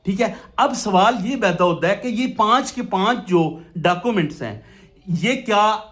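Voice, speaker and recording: Male law lecturer, Pakistani accent. High voice, very lively intonation, instructive, sets up questions then answers them. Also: a little slow. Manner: switches between Urdu and English legal terms. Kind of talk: explanatory law lesson with worked examples.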